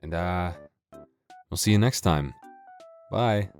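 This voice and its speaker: Man, deep voice, casual creator chat, rambling, self-deprecating, dry humor, faint music. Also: takes it slowly, darkish tone. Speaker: audio roleplay creator. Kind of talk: casual, joking outro chat.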